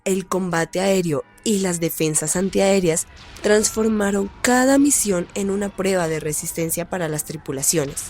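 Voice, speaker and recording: A woman's voice: lowish voice, normal speed, a little mellow, faint music behind, natural voice. A woman playing a serious radio news anchor, announcing a scripted wartime bulletin in a formal manner.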